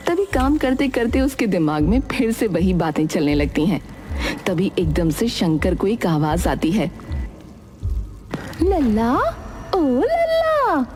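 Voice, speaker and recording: A woman's voice: fairly low pitch, lively intonation, measured pace, a little mellow, faint music behind. A woman delivering scripted narration for a cartoon fairy tale in a storyteller's style.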